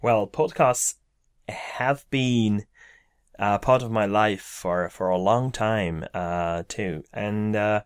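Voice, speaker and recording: A man's voice: fairly low pitch, slow, smooth. A young man from Hungary, an English speaker, hosting a casual podcast chat, hesitant with many restarts. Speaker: podcast host.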